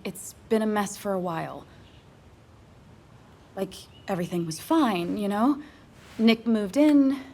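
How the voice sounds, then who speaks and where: An actress playing a weary, grieving woman in her thirties, confiding haltingly in naturalistic video game drama. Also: medium pitch, darkish tone, slight background noise, natural voice. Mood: frustrated.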